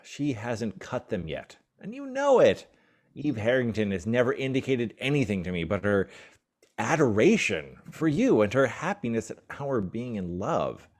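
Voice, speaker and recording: Radio play: wry boyfriend, fed up and teasing, very animated intonation. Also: male voice, medium pitch, moderate pace. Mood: reproving. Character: exasperated, wry boyfriend of a stage star.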